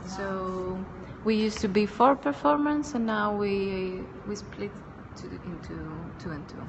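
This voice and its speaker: A young woman, a performer calmly explaining in English. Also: mid-range voice, unhurried. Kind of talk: casual conversation. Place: bare indoor room with wooden benches. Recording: long reverb, dull, noisy.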